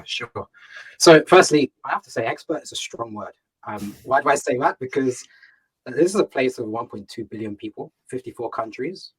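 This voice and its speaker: Man, Ga, founder guest on a video call; husky, earnest, repetitive, emphatic. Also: medium pitch, fairly bright tone, rough recording. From United Kingdom. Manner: earnest, emphatic, repeats words, asks himself questions. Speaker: startup founder and CEO, guest. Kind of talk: fireside chat on a video call.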